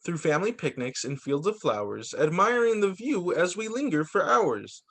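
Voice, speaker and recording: A man who teaches young children, reading picture books aloud in an expressive, sing-song storytime delivery. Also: high voice, moderate pace, creaky. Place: remote video call story session.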